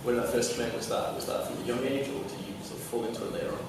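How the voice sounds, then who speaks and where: Fast, halting questioner in an echoey room. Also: male voice, mid-range voice, little pitch movement. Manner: hesitant, rambling questions. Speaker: event host asking questions. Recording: echoey room, far from mic, noisy.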